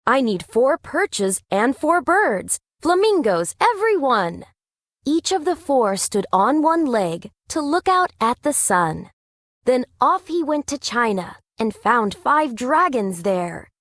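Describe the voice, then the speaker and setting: A woman with a fairly high voice reads rhyming children's verse as narrator. Her pitch moves around a lot, her pace is unhurried, and the recording is dry. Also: very animated, fairly bright tone, no room sound. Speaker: storybook narrator. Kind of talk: rhyming children's read-aloud.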